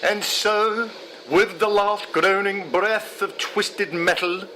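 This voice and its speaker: A man reading poetry aloud in a solemn, measured, dramatic way. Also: high-pitched, fairly even intonation, pushed and bright, slight background noise. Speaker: poetry reader. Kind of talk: expressive poetry recitation. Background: music.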